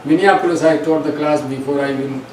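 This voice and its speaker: An older man from India with an Indian accent, whose first language is Hindi, telling stories as a yoga teacher in halting, repeated phrases. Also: on the high side. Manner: hesitant, repeats words, short phrases. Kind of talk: reminiscing in a recorded conversation. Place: bookstore event room. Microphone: distant microphone. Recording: roomy echo, distant mic, steady background hiss.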